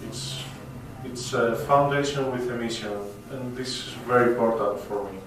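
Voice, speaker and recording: A young man with a Greek accent giving an informal, unscripted talk to a group in a hesitant, halting way. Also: medium pitch. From Greece. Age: young adult. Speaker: student speaker at a meetup. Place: echoey indoor event room. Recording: echoey room, distant mic, background noise.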